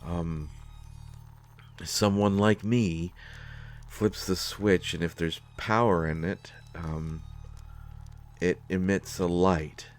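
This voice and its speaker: A man playing a nervous, awkward, well-meaning character in a sci-fi audio drama, speaking haltingly with many stammers. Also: deep voice, fairly even intonation. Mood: awkward, explaining. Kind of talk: solo scripted audio drama, conversational acting.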